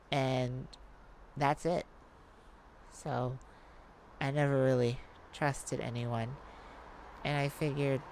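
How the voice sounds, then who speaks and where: A woman playing a cocky, flirtatious master thief in an ASMR romance roleplay, with teasing, drawn-out phrasing and dramatic pauses. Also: very deep voice, darkish tone, slight background noise, full character voice. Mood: wistful.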